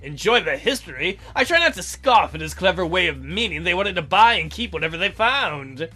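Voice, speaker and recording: A man's voice: sits high, expressive, brisk, bright, forceful tone, faint music behind. A man doing a let's play of a visual novel, reading it out and throwing in irreverent, crude wisecracks. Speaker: let's player.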